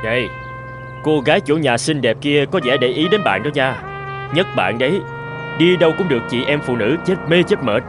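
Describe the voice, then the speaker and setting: An actor playing a young, easygoing and polite male traveller in an animated cartoon, with expressive delivery. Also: mid-range voice, normal speed, natural voice. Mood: teasing. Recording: music behind.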